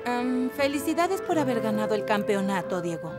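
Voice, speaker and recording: A woman's voice: a little high, sing-song, moderate pace, big-room sound, over music. An actress playing a clever, proud young woman in a cartoon, poised, persuasive and pointed. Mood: proud, reluctant. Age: young adult. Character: clever, proud young woman, mayor's strong-willed daughter. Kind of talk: animated cartoon dubbing.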